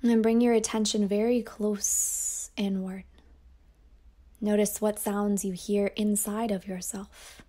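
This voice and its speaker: A woman teacher guiding a yoga nidra meditation in a slow, soft voice that often drops toward a whisper. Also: mid-range voice. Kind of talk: guided meditation.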